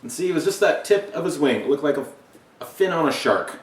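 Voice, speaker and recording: An animated, playful storyteller reading a picture book aloud with dramatic emphasis and chatty asides. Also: mid-range voice, brisk. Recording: echoey room, distant mic.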